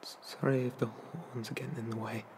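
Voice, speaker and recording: A man voicing a shy, gentle young male demon in an ASMR roleplay, soft and hesitant, often slipping into whispers. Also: mid-range voice, flat delivery, fast, close to an everyday voice. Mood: bashful, awkward. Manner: hesitant, stammering, bashful. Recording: noticeable background noise.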